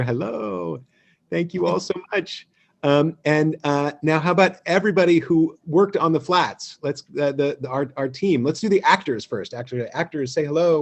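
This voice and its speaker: A man hosting an informal online event over a video call, welcoming people in a halting, conversational way. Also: on the high side. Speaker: host.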